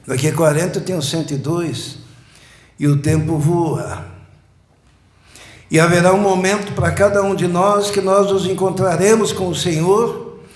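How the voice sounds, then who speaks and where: Slow-preaching pastor in his sixties. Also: high-pitched. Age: 60s. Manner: slow, emphatic preaching with rising repeated phrases. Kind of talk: sermon. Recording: echoey church room.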